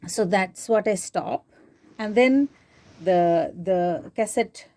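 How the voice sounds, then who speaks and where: Female tutorial presenter, slow and very mellow, unscripted, thinking aloud step by step. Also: medium pitch. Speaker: tutorial presenter demonstrating tape digitizing. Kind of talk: informal unscripted how-to demonstration.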